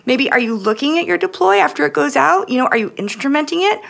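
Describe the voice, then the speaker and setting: Woman, heavy vocal fry, animated pitch swings, podcast co-host, casual and opinionated. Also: quick pace. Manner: argues with rhetorical questions.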